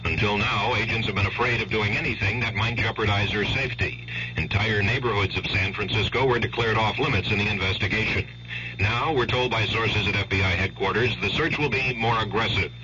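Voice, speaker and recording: Sharp, forceful American male radio correspondent. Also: lowish voice, a little fast. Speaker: network news correspondent. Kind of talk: scripted radio news report. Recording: dull noisy 1970s radio broadcast.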